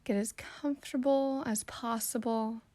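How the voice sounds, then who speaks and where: Slow, even-toned woman guiding meditation. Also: a little high, dry recording. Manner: calm, soothing, gently instructive. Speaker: meditation guide. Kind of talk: slow guided meditation narration.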